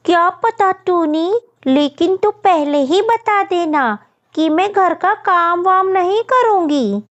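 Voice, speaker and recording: Woman as a high-pitched gossipy bird girl. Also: expressive, slow pace, lo-fi sound, heavily acted. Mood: advising. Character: gossipy young bird girl warning her friend. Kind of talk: children's cartoon story acting.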